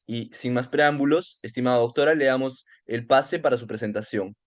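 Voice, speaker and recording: Guy moderating, reading out a speaker bio, mid pitch, dry close sound. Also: steady tone, normal speed, no echo. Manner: reads steadily with small slips. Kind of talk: reading a formal introduction.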